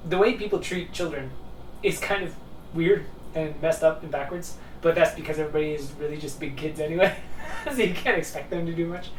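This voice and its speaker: A man talking casually and off the cuff about ideas, rambling and repeating words for emphasis. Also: fairly high pitch. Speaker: host of a discussion video. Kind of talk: casual unscripted philosophical talk. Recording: roomy, distant mic with faint noise.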